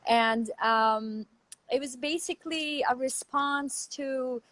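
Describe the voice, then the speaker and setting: A woman with a Turkish accent, giving a casual interview over a video call as a guest, thinking aloud in halting phrases. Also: high-pitched, a bit bright. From Turkey. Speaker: guest, artist and professor. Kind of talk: casual interview talk about own background.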